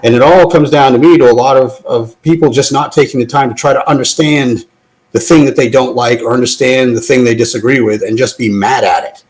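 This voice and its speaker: A man taking part in a live audio talk show, speaking in a measured, reflective way as he explains his view. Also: medium pitch, rough recording. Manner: measured, thoughtful, gently explaining.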